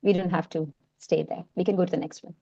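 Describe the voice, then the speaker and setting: A woman's voice: fairly low pitch, steady tone, quick pace, vocal fry, dark tone, slightly rough, lo-fi sound. A woman, a Malayalam speaker with a mixed Indian and American accent, presenting her film work in a hesitant talk full of fillers and restarts. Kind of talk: informal talk in an online session.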